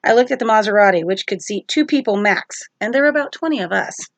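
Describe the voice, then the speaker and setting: A woman's voice: medium pitch, animated, fast talker, no room sound. A woman teacher reading a storybook aloud as narration for children.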